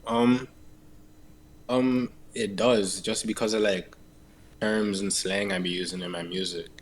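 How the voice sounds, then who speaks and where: A man with a fairly deep voice and a Toronto accent chats casually as an interview guest. His talk is halting, full of slang and swearing, with repeated tag phrases. There is some background noise on the recording. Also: steady tone. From Canada. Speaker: interviewee, musician.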